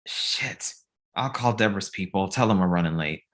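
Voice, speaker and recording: A woman's voice: very deep voice, animated, normal speed. A woman playing an exasperated, blunt young male manager, the sensible one of his group, in a comedy table read. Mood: annoyed.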